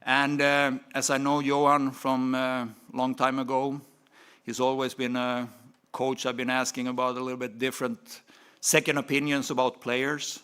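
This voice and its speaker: A man making a steady statement at a press conference about hiring a new coach, pausing with fillers. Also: medium pitch, smooth.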